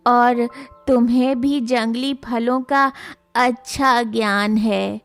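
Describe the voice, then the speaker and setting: A woman voicing an elderly, gentle and caring mother-in-law who speaks slowly and softly. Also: fairly high pitch. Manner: slow, soft, comforting. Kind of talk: cartoon voice acting.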